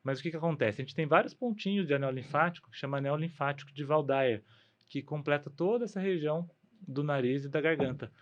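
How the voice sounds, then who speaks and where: A man's voice: fairly high pitch, quick pace. Slightly muffled Brazilian man explaining medicine. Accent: Brazilian Portuguese. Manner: explanatory, poses and answers own questions. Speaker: guest, ENT doctor.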